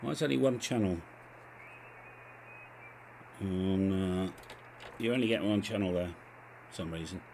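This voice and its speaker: A man's voice: low voice, a little slow, vocal fry, pauses often, slight background noise. A middle-aged man operating a ham radio station from a home shack, calling out call signs on a headset and chatting casually. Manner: calls out phonetic call signs loudly, then chats to himself. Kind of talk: live radio contacts and casual commentary.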